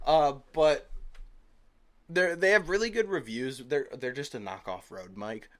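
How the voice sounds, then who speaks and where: A man's voice: mid-range voice, a little slow, fairly bright tone. A young man co-hosting a casual podcast, talking in an enthusiastic, profane way and calling people bro, into a desk microphone at home. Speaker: podcast co-host. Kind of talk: casual chat. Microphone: desk USB microphone.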